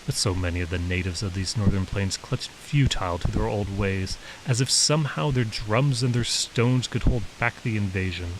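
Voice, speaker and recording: Male author narrating his fiction, lower-pitched voice, recorded with faint steady hiss. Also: normal speed, few pauses, rough recording. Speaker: author reading own story.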